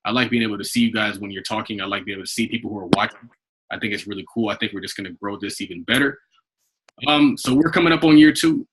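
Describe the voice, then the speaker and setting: An American man with a husky voice leading an informal investment club call, talking in a rambling, conversational way. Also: lowish voice, quick pace, fairly bright tone, slight background noise, low-quality recording. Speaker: investment club leader hosting a conference call. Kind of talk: informal group call talk, rambling updates. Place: online group video call.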